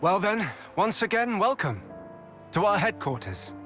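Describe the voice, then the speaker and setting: A bright, fairly high male voice a composed, courteous base leader in a game cutscene. His intonation moves freely, over faint music. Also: sing-song, a little slow, exaggerated voice. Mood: welcoming. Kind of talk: video game cutscene acting. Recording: music behind the voice.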